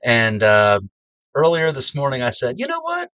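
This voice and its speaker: A man's voice: mid-range voice, wildly swooping pitch, moderate pace, pushed and bright, no echo, muffled recording. A middle-aged American man, still congested after strep throat, rambling casually with lots of ums as a beginner voice actor in a small cedar closet. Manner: rambling, self-deprecating, many ums. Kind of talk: casual personal vlog.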